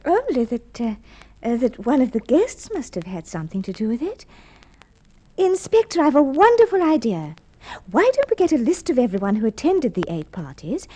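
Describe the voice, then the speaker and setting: A high, lively woman's voice from an old radio play, a well-heeled socialite with polite, plausible manners. Her pitch moves expressively through theatrical diction. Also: normal speed. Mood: eager. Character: well-to-do society woman, plausible and eager, secretly a thief. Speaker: radio drama actress. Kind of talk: Golden Age radio drama acting. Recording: old radio drama recording.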